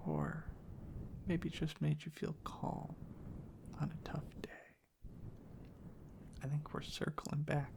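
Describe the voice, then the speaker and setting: A man speaking in a soft, hushed, half-whispered ASMR ramble, breathy and gravelly, in unhurried halting phrases. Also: fairly high pitch. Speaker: ASMR content creator. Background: tapping and clicking sounds.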